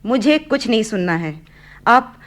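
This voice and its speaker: Radio drama: defiant sick daughter, twenty, very high voice, petulant and bitter. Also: female voice, expressive, unhurried. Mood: bitter, despairing. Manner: petulant, snapping, stubborn. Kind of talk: Hindi radio play acting.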